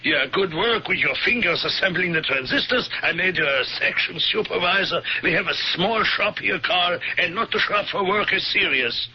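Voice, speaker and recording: A man acting in an old-time radio drama as an anxious, hunted fugitive, speaking in a hesitant, shaken, halting way. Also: sits high, breathy edge. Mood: bitter mimicry. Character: anxious, hunted male fugitive war criminal posing as worker. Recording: noisy, dull old radio broadcast recording.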